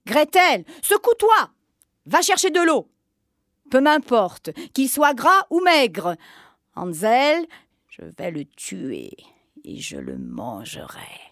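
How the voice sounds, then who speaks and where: A woman's voice: high voice, sing-song, takes it slowly, dry recording, studio quality, exaggerated voice. A cackling child-eating witch. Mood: snarling. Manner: cackling, honeyed then snarling, hissing whispers. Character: wicked old female witch, greedy, cruel, child-eating. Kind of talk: storybook reading.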